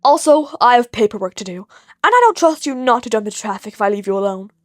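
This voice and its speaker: A woman voicing a gruff, dry, annoyed grown man, a stern hero, in a deadpan, slow manner for an audio story. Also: fairly high pitch, no room sound, close to an everyday voice.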